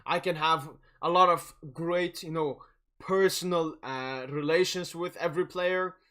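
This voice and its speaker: Young male football streamer, high bright voice, cocky and joking, casual chat delivery. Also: fairly even intonation, unhurried. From Sweden and Algeria. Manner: boastful, jokey, prone to chuckling. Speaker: football watch-along livestream host. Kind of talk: casual livestream chat, match commentary.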